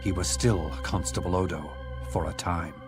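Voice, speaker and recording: A man narrating an audiobook in a measured, even storytelling manner. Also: deep voice. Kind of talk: scripted narration. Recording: narration with background music.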